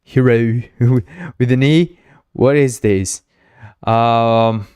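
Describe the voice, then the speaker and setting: A man's voice: mid-range voice, fairly even intonation, drawn-out words, a little mellow, clear, resonant tone, dry recording, studio quality. A man whose first language is Spanish, hosting a casual live coding stream, thinking aloud, repeating himself and playfully teasing his chat. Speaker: game developer streaming live.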